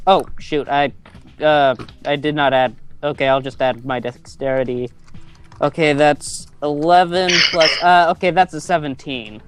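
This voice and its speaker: A man's voice: a little high, fairly even intonation, unhurried, soft background music. A man playing a tabletop game, chatting casually in a hesitant way, often repeating his words. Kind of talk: casual chat during a game.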